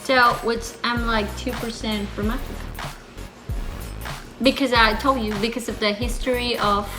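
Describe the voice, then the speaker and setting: Female vlog host, Colombian accent, native Spanish speaker; high-pitched, excitable casual chat over clear background music. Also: normal speed.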